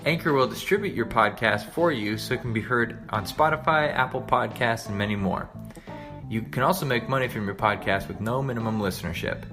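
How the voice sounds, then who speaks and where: A man's voice: mid-range voice, fairly quick, over music. A man hosting a podcast, reading scripted narration. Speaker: podcast host.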